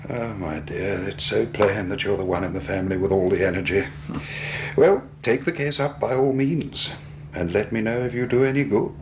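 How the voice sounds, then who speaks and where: An actor playing an urbane, languid English gentleman in a radio drama, dry and unhurried with a condescending edge. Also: on the low side, noisy background, natural voice. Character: languid, urbane, drily superior English gentleman, detective's brother.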